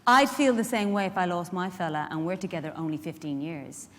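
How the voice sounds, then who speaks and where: A woman's voice: on the low side, a little fast, a bit bright, natural voice. A woman with an Irish accent performing a live dramatic monologue as a feisty, protective care worker, in a conversational, wry manner. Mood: explaining, tender. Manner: conversational, wry, rhetorical questions to the audience. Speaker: actor in a dramatic reading. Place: large indoor event hall. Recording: echoey hall, live stage reading.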